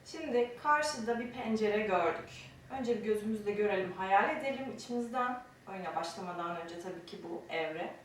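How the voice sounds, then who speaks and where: A woman's voice: medium pitch, expressive, normal speed, few pauses. Woman teaching mime in an echoey room. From Turkey. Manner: explaining and demonstrating, counting out movements. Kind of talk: step-by-step instruction. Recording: very echoey room, distant mic, faint noise.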